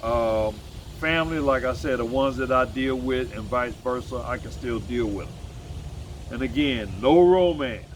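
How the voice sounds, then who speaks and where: A man's voice: mid-range voice, a little slow, a little hiss or hum. Blunt rambling man in his sixties. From United States. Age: 60s. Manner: rambling, self-correcting, blunt and emphatic. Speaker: vlogger and musician. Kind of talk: casual solo vlog monologue.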